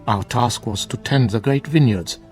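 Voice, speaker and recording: An actor playing a grave, fervent older monk in a radio drama, speaking in formal, measured, preachy phrases. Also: male voice, medium pitch, darkish tone, soft background music, close to an everyday voice. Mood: grave, assured. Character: solemn bearded older monk, fervent guardian of truth. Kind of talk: classic radio drama acting.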